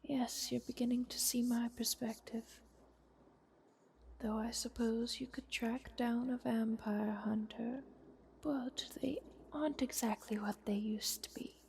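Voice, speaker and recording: A woman voicing an ancient, haughty vampire countess in a soft, whispered fantasy ASMR roleplay, with a formal, measured, wry manner. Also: fairly high pitch, steady tone, vocal fry, slight background noise. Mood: guarded, coolly persuasive. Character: ancient, youthful-looking vampire countess, haughty, coolly bargaining.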